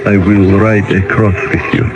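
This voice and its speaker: An actor playing a troubled, despairing Russian man in an old-time radio drama, speaking in a weary, halting way. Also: low-pitched, flat delivery. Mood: despairing, resigned. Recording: dull old radio recording, music behind.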